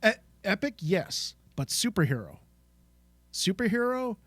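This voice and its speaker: A man's voice: high-pitched, very animated, measured pace, fairly bright tone, no room sound. A man co-hosting a podcast, chatting casually in an enthusiastic, informal way. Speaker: podcast co-host. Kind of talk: casual chat.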